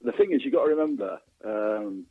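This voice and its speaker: A man being interviewed as a guest author and historian, speaking casually and haltingly, often repeating words mid-sentence. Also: fairly low pitch, animated, slightly rough. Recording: muffled, narrow phone-line sound with echo.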